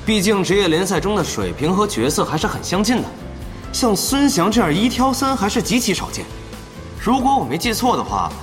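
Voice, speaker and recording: A man's voice: high-pitched, big-room sound. A man playing a thoughtful esports analyst in an audio drama, explaining the play in a considered way. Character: measured male esports pundit, explanatory and thoughtful. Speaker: guest analyst.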